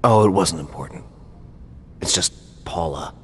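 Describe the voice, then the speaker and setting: Casting: troubled ex-thrall for a video game, low register, reverberant recording with faint noise. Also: male voice, unhurried. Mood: wary, evasive. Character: troubled, weary male former thrall, remorseful and confiding.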